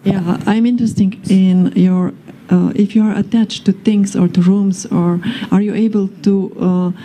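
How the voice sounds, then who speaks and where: A woman's voice: mid-range voice, steady tone, measured pace, mellow. A woman in the audience asking a long, rambling question, searching for words and saying "you know" often. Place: large indoor hall with an audience.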